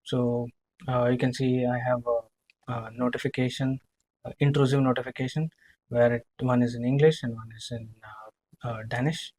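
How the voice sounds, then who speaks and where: A man demonstrating software in a live screen-share walkthrough, speaking haltingly, repeating himself and often checking in with a short tag question. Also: medium pitch, fairly even intonation, rough recording. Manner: halting, repetitive, checking in with "right?".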